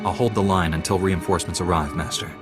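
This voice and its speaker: An actor playing an earnest, resolute young Jedi apprentice in a video game, speaking in a serious, firm way. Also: male voice, low voice, fairly even intonation, fast, music in the background, lightly acted. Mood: dutiful. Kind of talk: video game cutscene acting.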